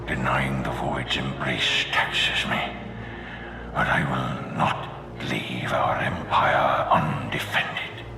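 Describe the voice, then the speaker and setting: An actor playing the grim, solemn ghost of a dead Sith lord in a video game, with a gravelly, breathy edge and a slow, portentous delivery. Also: male voice, extremely low pitch, level tone, heavily acted. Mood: solemn, resolute. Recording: echoing voice over music.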